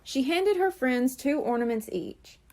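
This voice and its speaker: A woman reads a children's chapter book aloud. Her voice is high and swings widely, expressive and animated throughout. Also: measured pace. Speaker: librarian reading aloud. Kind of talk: children's storybook read-aloud.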